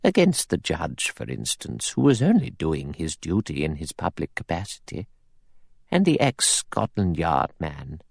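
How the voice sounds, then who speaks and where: A severe old spinster from a storybook, acted out by a man. She is creaky and softly spoken, with brisk, precise diction. Her manner is coldly pious and disapproving, and the sound is close and dry. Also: very deep voice, huge pitch swings, no echo, full character voice. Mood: serene disapproval. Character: prim, pious, severe elderly spinster, coldly self-righteous.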